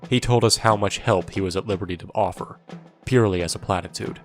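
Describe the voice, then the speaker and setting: A man's voice: deep voice, fast, natural voice. An actor playing a wry, world-weary soldier who narrates his war in the first person, sardonic and irreverent, in an audio drama. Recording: music under the voice.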